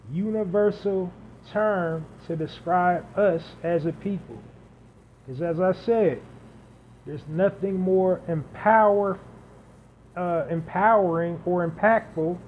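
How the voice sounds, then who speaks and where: A man hosting a solo podcast, talking unscripted and building points through emphatic repetition and lists. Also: fairly high pitch. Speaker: podcast host. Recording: dull lo-fi audio with faint hiss.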